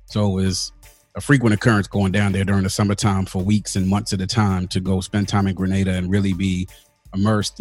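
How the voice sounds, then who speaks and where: Man, low voice, laid-back storyteller, casual slang, dry close sound. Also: dry recording. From Washington DC area, United States. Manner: relaxed storytelling, uses slang like "yo" and "big up". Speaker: podcast guest. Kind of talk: casual conversational interview.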